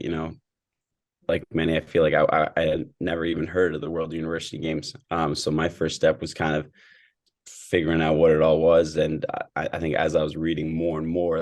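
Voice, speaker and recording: A young man from Sweden, a student athlete answering interview questions in a measured way with many fillers. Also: extremely low pitch, level tone, a little mellow. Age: young adult.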